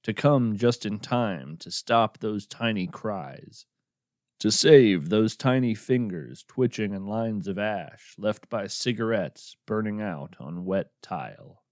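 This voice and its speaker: A man playing a wry, musing naturalist who hosts a radio show of the uncanny, narrating in a measured, contemplative, folksy way. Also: fairly low pitch, expressive, no echo, clean studio sound. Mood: solemn. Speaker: podcast host and narrator. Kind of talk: scripted narration, reflective storytelling with poetry reading.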